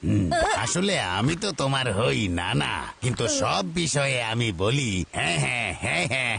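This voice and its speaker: A man's voice: mid-range voice, lively intonation, moderate pace, gravelly, a bit bright, breathy edge, exaggerated voice. A man voicing a playful, teasing old grandfather in a dubbed cartoon. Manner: teasing, chuckling.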